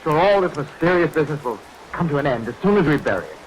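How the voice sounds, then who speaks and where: A man's voice: fairly high pitch, very animated, fast talker, rough recording. An actor playing a stubborn, brusque man who dismisses others' fears in a vintage radio play. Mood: impatient, dismissive. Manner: impatient, brusque. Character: stubborn, dismissive man insisting all is normal. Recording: vintage radio recording with rain noise. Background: rain.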